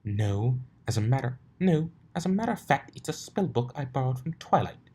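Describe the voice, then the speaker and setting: A man's voice: mid-range voice, big pitch swings, moderate pace, warm tone, breathy edge, pitched above the performer's own voice. A man voicing a prim, dramatic female unicorn in a cartoon story, prissy and theatrical in manner. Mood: mock grave.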